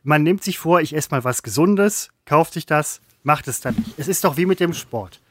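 A man co-hosting a comedic chat, musing wryly and dropping deadpan quips. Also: a little high, moderate pace, slightly rough, no echo. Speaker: podcast co-host.

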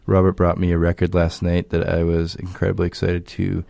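A man's voice: low voice, monotone, fairly quick, gravelly, mellow, dry recording. A man hosting a community radio show, chatting casually with his guest in a studio. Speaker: radio host.

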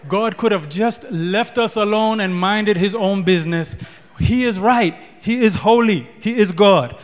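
A man from Ethiopia preaching a sermon, repeating words and building in rising declarations. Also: sits high. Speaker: pastor. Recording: long hall reverb.

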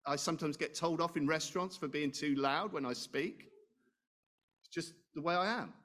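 Loud, animated preacher man. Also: a little high, normal speed. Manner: loud, animated, conversational with asides and rhetorical questions. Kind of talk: sermon.

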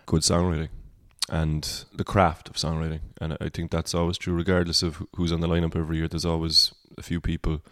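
This is a Cork Irish man with a deep baritone voice that sits very low. His delivery stays level, with little rise and fall. He sounds reflective and wanders through his thoughts in a casual podcast chat, recorded close with a dry sound. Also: monotone, fairly quick, hardly any pauses, a bit bright, no room sound. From Cork, Ireland. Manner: reflective, rambling. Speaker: singer-songwriter guest.

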